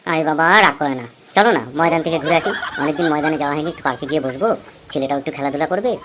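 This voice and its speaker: Man voicing a cartoon husband, thirties, rapid speech, chatty, coaxing, thrifty joker. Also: on the high side, background noise, lightly acted. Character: cheerful teasing husband, thrifty, in his thirties. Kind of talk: amateur comedy cartoon dialogue.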